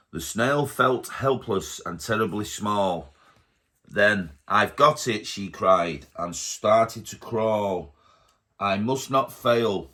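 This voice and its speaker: A man reading a rhyming picture book aloud to his baby at home, slowly and gently. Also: fairly low pitch. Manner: slow, gentle storybook reading.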